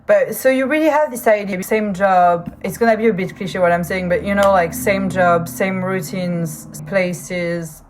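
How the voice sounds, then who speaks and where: A woman's voice: mid-range voice, poor audio. A woman from France with a strong French accent, hosting her own podcast in an earnest, hesitant way, often restarting her sentences. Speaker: podcast host. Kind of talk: casual solo podcast monologue.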